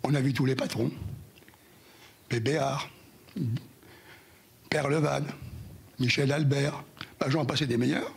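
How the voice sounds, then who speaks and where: Hoarse older man giving a halting speech. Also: mid-range voice, fairly even intonation. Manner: halting, repeats words, addresses the audience directly. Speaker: former union president giving an anniversary speech. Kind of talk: speech to an audience.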